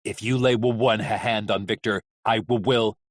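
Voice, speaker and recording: An audiobook narrator plays a gruff male robot, fiercely protective. He stutters and catches on words as he snarls them out. The sound is dry. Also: medium pitch, fairly even intonation, normal speed, no room sound, pitched below the performer's own voice, natural voice. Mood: snarling. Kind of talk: audiobook character acting.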